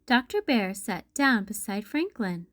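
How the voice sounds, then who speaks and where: A woman's voice: mid-range voice, expressive, measured pace, no echo, clean studio sound. A woman hosting a read-aloud, narrating a children's storybook expressively. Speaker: storybook reader and channel host.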